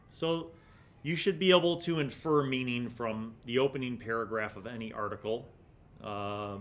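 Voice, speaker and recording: A man's voice: fairly low pitch, a bit bright, a little hiss or hum. A middle-aged man hosting a review series, reading aloud and commenting in a casual, musing, conversational way. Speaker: host of a gaming magazine review series. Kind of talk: casual commentary with passages read aloud from an article. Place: small indoor studio set with shelves. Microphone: condenser mic on the desk in front of him.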